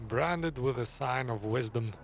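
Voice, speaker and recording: A man's voice: medium pitch, unhurried, noisy background. A man hosting a gaming video, giving casual off-the-cuff commentary on the story as he plays.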